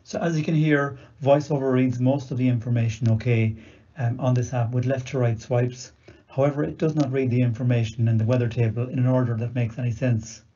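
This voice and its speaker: A man's voice: mid-range voice, steady tone, a little fast, warm tone. A man giving scripted instructional narration in a methodical, matter-of-fact way. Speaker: video demonstrator. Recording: dull lo-fi recorded video audio.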